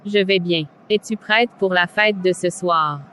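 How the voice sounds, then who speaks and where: A performer playing a pushy, dismissive young woman headed out partying, in a scripted animated drama. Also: medium pitch, a little slow. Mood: breezy. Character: brash young female party-goer, mocking, pushy. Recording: clearly noisy background.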